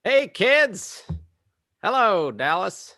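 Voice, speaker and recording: A man's voice: high-pitched, sing-song, drawn-out words, pushed and bright, exaggerated voice. A man playing a wisecracking suburban dad close to retirement, in a hammy comedy table read. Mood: trying too hard.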